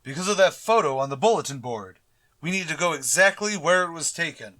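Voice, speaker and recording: A man acts an innocently oblivious science teacher in a vintage radio comedy. His voice sits a little high and stays earnest. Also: animated, brisk, sharp tone. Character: earnest, oblivious male biology teacher Mr. Boynton. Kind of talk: 1940s radio sitcom acting.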